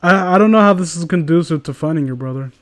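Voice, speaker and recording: A man's voice: on the high side, quick pace. A man chatting casually as a co-host, swearing and teasing as he riffs on amateur fiction. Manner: sweary, mocking banter. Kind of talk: casual group chat and commentary on amateur fiction read aloud.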